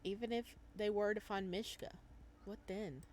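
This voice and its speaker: Female let's-play host, mid-pitched, chatty and playful, switching into calm read-aloud narration. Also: moderate pace, slight background noise. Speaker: gaming video host. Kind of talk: casual let's-play commentary, reading game text aloud.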